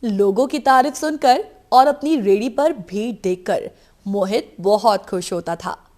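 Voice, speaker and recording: A woman's voice: medium pitch, animated, a little slow, darkish tone. A woman reading a scripted moral story as its narrator.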